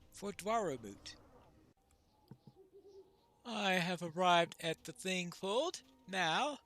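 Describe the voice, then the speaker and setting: A man's voice: fairly high pitch, fairly bright tone. A man narrating his playthrough of a video game, with game music behind him. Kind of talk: reading quest text aloud in character, playthrough narration.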